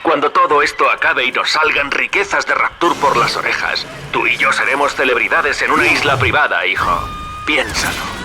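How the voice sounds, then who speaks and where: A man's voice: mid-range voice, moderate pace, noisy background, lightly acted. An actor playing a greedy male schemer in a dubbed video game, eager and coaxing. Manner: eager, coaxing, calls the listener son and boss.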